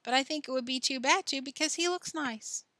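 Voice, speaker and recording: Woman doing a cheeky confident little girl, breezy and bright, storybook character. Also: sits high, brisk, no room sound, pitched above the performer's own voice. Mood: matter-of-fact. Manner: breezy, self-assured. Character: bold, cheerful, cheeky strong little girl.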